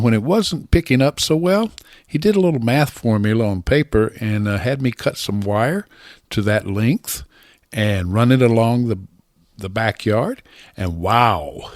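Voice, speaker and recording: Low-voiced man, dry close sound. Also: darkish tone, no room sound. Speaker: amateur radio hobbyist presenting a video. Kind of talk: informal explanatory narration.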